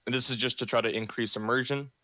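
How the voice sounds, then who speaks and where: A man's voice: medium pitch, fairly even intonation, fast talker, sharp tone, no echo, poor audio. A young man hosting a roleplay community meeting over voice chat, reading the rules in a plain, self-described boring voice with many ums.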